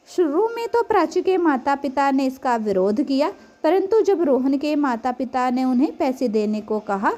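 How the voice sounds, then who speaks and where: A woman's voice: high voice, dark tone. A woman reading a scripted story aloud as a narrator.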